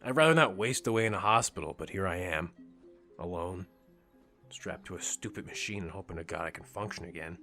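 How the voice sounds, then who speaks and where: Sullen, low-voiced sarcastic teenage boy played by a man. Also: a little fast, sharp tone, faint music behind. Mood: bitter, weary. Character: bitter, sarcastic injured teenage boy, stubborn. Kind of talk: audio drama acting.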